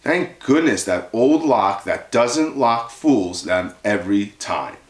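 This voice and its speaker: A man voicing a small, eager hamster who confides excitedly in a children's storybook. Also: lowish voice, takes it slowly, echoey room. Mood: nervous, relieved.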